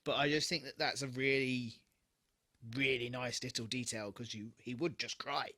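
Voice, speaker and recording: A man's voice: mid-range voice, fairly even intonation, normal speed, bright, forceful tone, no room sound, clean studio sound. A British man co-hosting a casual TV re-watch podcast, talking informally and emphatically with plenty of swearing. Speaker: podcast co-host.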